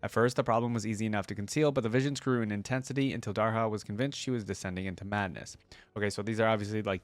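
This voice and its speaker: A man's voice: lowish voice, no room sound. A man hosting a casual reaction video, talking conversationally and reading lore aloud into a close microphone. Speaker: YouTube host reacting to game lore.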